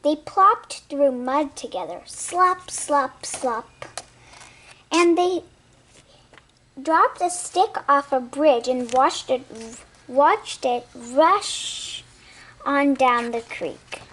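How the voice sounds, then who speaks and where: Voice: high-pitched, very slow, fairly bright tone, slight background noise. A child reading a picture story aloud expressively, with sound effects and asides to young listeners. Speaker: storybook reader.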